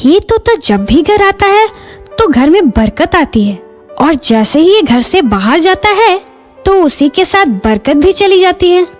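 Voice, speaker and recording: A man voicing an irritable middle-aged village housewife, scolding and shrill. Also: very animated, unhurried, faint music behind, full character voice. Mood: suspicious.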